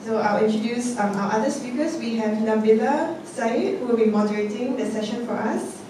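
Woman emcee, reading bios steadily, handheld mic, echoey hall, distant, noisy. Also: a little high. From Singapore. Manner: measured, reads from notes with occasional ums. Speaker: event emcee introducing panel speakers. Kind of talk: reading speaker bios aloud. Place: large theatre stage with a seated panel. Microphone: handheld mic, heard from a distance.

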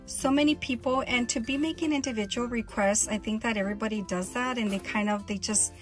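A woman's voice: fairly high pitch, brisk, soft background music. A woman chatting casually in a vlog, showing her purchases and gushing, often restarting her sentences.

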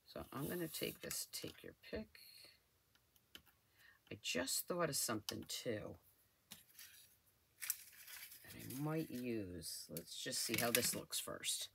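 A woman's voice: sits low, bright, forceful tone, slight background noise. An older woman hosting a crafting channel, chatting and thinking aloud as she works at her craft desk. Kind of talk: casual crafting tutorial voice-over.

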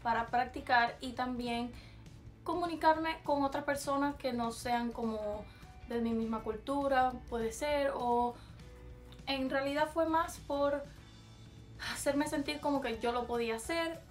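A young woman speaks in a chatty Cuban Spanish accent and sits slightly high in her range. She talks casually to a camera at desk distance in a small bedroom and drops English words in here and there. Soft music plays faintly underneath. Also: a little slow. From Cuba. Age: young adult. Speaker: vlogger. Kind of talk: casual vlog, taste test.

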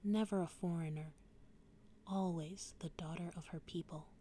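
A young woman whispering softly through a slow ASMR poetry reading, drifting close to and away from the microphone. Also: fairly low pitch, steady tone, slight background noise. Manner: soft unvoiced whispering, varied mic distance.

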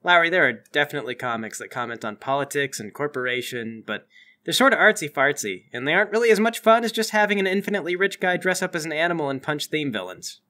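A man's voice: fairly high pitch, animated, fast, sharp tone. A man acting as game master, narrating a tabletop game and bantering with the players.

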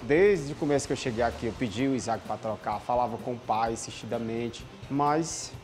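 A man's voice: medium pitch, brisk, a little mellow, faint music behind. A man in his thirties with a northeastern Brazilian accent, giving candid answers in an interview as he works at a family snack bar. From Ceará, northeastern Brazil. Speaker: owner's son helping at a snack bar. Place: small snack bar, indoors.

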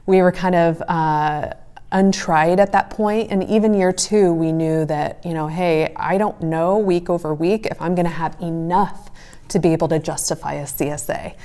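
A woman's voice: on the low side, fairly even intonation, moderate pace, dark tone, clear, resonant tone. A woman in her forties giving conversational, explanatory advice to camera as a farmer and host. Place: small wood-panelled room indoors by a stove.

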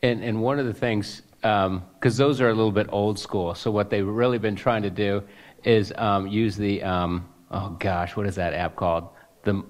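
Man, fairly low voice, hesitant, searching for words, town hall answer. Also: fairly even intonation, normal speed.

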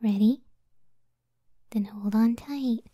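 A woman voicing a gentle, self-doubting giant cyclops wife in a soft, breathy ASMR roleplay, speaking tenderly and often near a whisper. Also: a little high, a little hiss or hum. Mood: tender, caring. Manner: slow, tender, unhurried.

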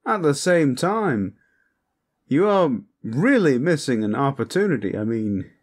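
A man's voice: on the high side, full character voice. A man voicing a lecherous, flamboyant vampire protector in a comedic audio roleplay, smug, pompous and suggestive. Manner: theatrical, drawn-out, suggestive, pompous. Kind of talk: comedic character roleplay monologue, talking to the listener.

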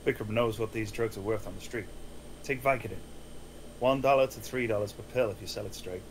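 A man's voice: fairly low pitch, a little fast, a little hiss or hum, close to an everyday voice, rough recording. A man playing an earnest, pleading schemer in a screenplay table read. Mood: persuasive. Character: earnest, pleading young schemer boyfriend, and a casual detective.

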